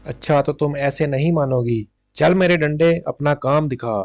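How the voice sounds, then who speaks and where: A man's voice: on the high side, moderate pace, a little mellow, no echo, close to an everyday voice. A man voicing a greedy, simple-minded, blustering old farmer in a cartoon moral story. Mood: threatening.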